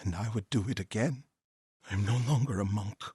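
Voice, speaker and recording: An actor playing a grieving, vengeful warrior monk in feudal Japan for a video game, with a husky, solemn, intense and formal delivery. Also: male voice, fairly low pitch, moderate pace, warm tone, no echo, studio quality. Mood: grim, bitter. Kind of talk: dramatic video game voice acting.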